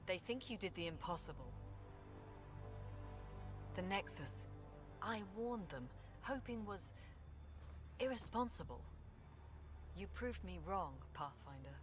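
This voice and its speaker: An actress playing a weary, candid, stern director in a video game, speaking in a measured, dry way. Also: female voice, fairly low pitch. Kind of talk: video game cutscene acting. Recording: clear ambient noise behind.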